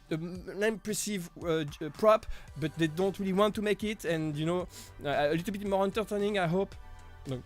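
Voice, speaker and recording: A man hosting a casual live stream Q&A, chatty and halting, with frequent small laughs. His voice is deepened while he gets over an illness. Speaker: live stream host answering viewer questions.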